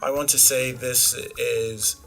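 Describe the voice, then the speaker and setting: A man's voice: medium pitch, fairly even intonation, slow, creaky, over loud music. Blunt, skeptical male film critic. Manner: blunt and skeptical, with wry asides and restarts. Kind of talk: casual review discussion.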